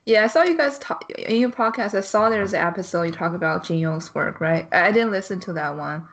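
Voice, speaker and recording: A woman's voice: on the low side, expressive, fairly quick, fairly bright tone. A woman chatting casually as a podcast guest. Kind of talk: casual chat. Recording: clearly noisy, with clicks.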